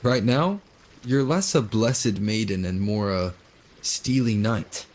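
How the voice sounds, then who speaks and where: An actor playing a calm, gently wry young hero in a video game. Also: male voice, on the low side, slow, a little hiss or hum.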